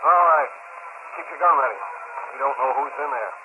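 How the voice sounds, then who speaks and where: A man plays a senior policeman in an old radio thriller, wary and in command. His voice is mid-pitched. The recording is noisy and phone-thin. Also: a little fast, phone-quality sound, close to an everyday voice. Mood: tense, commanding. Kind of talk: old-time radio drama acting.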